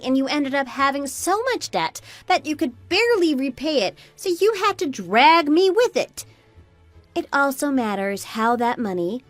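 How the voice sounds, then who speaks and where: A woman's voice: high-pitched, dry recording. A woman playing a fed-up young daughter-in-law and mother in an audio drama, arguing back with pointed sarcasm. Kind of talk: scripted radio drama dialogue.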